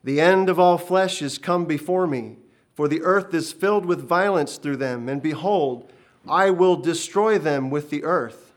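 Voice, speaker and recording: A man's voice: on the high side, a little slow, lightly acted. A man voicing a stern, commanding divine voice that proclaims in solemn archaic speech. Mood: booming. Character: booming ancient divine voice, male, commanding and stern. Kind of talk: dramatic storytelling reading.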